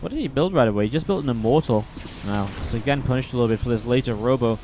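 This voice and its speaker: A man commenting play by play on a strategy video game match, a little sniffly from a cold, steady and then more excited in the fights. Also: mid-range voice, very fast, slight background noise. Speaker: esports caster.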